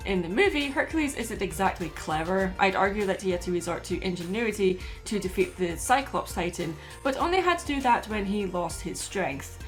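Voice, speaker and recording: A woman's voice: mid-range voice, lively intonation, moderate pace, few pauses, soft background music. A woman hosting and narrating a scripted video essay.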